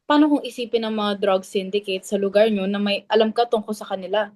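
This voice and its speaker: A woman's voice: mid-range voice, steady tone, a little fast, a little mellow, close to an everyday voice. A woman in a dramatic reading playing a blunt, suspicious gossip who argues insistently. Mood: alarmed, argumentative. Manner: argumentative, insistent.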